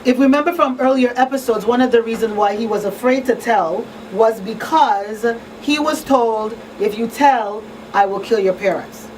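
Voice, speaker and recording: A woman's voice: on the high side, normal speed, few pauses. Woman host explaining, slightly distant mic. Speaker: radio show host and crisis counselor. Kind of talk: conversational radio talk, explaining. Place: small radio studio. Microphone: studio broadcast mic on boom arm. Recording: distant pickup, steady room noise.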